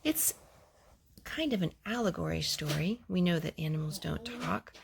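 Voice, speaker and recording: Woman reading a storybook, very animated pitch. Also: moderate pace, creaky, noisy background. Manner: animated, with gentle asides to young listeners. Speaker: storyteller reading a children's book aloud. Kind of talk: expressive storybook read-aloud for children.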